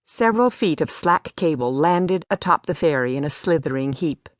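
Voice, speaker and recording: Low-voiced woman narrating a story. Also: lively intonation, normal speed, dry recording, studio quality. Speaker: audiobook narrator. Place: dry studio.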